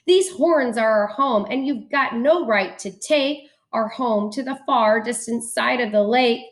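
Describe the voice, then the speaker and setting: A high, shrill and selfish little storybook critter, acted out by a woman reading aloud. It exclaims with pushy indignation, loud and entitled. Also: measured pace. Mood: indignant. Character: pushy, selfish animal pests squatting on moose horns.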